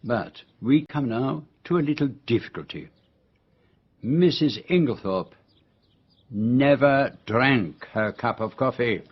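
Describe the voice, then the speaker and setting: A methodical detective in a radio mystery, patient and theatrical. His voice is slightly husky, and he lays out his case deliberately with dramatic emphasis. The recording is a little dull and muffled. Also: medium pitch. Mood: explaining.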